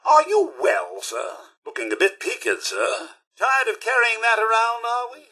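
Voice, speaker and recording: An actor playing a polite, fussy robot butler in a video game, with a British accent and a prim, theatrical, deferential manner. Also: male voice, very high-pitched, very animated, measured pace, gravelly, sharp tone, husky, full character voice. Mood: fussy concern. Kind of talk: video game companion voice lines.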